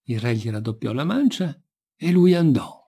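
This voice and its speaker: A man's voice: medium pitch, sing-song, moderate pace, creaky, mellow, slightly rough. A man reading a folk tale expressively as a storyteller.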